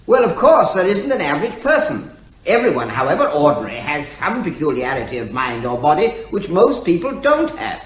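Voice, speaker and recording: In a roomy, echoing space, a male actor plays a pedantic witness in a courtroom comedy. His high voice moves animatedly as he quibbles, unruffled, pausing to build his argument. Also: wildly swooping pitch. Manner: pedantic, argumentative, hesitating as he reasons. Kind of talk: courtroom radio comedy drama.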